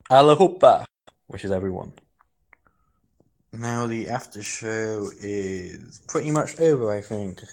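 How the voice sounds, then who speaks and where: Rambling low-voiced man, casual chat. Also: darkish tone. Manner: halting, many restarts and fillers. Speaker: podcast co-host. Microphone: headset.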